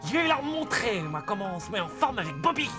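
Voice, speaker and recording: A woman's voice: medium pitch, very animated, a little fast, close to an everyday voice. A woman voicing a brash, mocking show-off of an animal in a children's cartoon. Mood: scornful, impatient. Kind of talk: French children's cartoon dubbing. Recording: music behind the voice.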